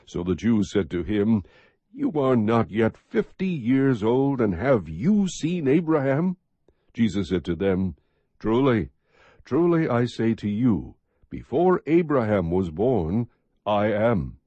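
A man narrating scripture as an audiobook, reading in a measured, expressive, husky voice. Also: on the low side, dry recording, lo-fi sound.

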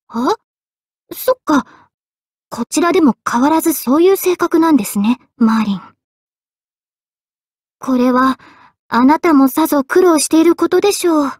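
A woman playing an earnest, polite, quietly self-deprecating young female mage in expressive anime game voice lines. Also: high voice, no echo, studio quality. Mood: musing. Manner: polite, expressive, shifting between excited and subdued.

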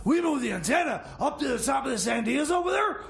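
A man playing an excitable, stammering grifter sidekick who pushes shady schemes in an improvised comedy radio skit. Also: very high-pitched, fast talker, vocal fry, heavily acted. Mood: excited scheming. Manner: stammering, pushy, interrupting.

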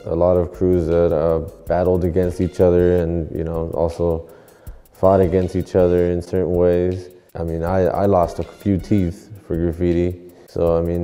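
A deep, flat-toned man talks through an interview in loose street slang, starting phrases over as he goes. His voice is very dark and mellow. It rings with a long hall reverb over faint music. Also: clear, resonant tone. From Los Angeles. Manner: laid-back street slang, rambling restarts. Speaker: graffiti artist. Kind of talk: interview monologue.

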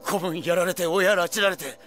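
A man's voice: high voice, moderate pace, fairly bright tone, faint music behind. A man playing a hot-blooded, loyal young yakuza underboss in a video game drama, earnest and pleading with his boss. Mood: pleading, stubborn.

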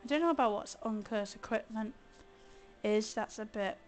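A hoarse woman chatting casually as she streams a video game, thinking aloud and grumbling playfully. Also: a little high, moderate pace, soft background music. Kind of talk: casual let's-play commentary.

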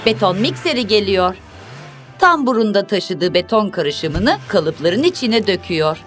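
A woman's voice: medium pitch, big pitch swings, measured pace. A woman narrating a children's cartoon, speaking expressively in simple, instructive phrases as if to young viewers and characters. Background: music.

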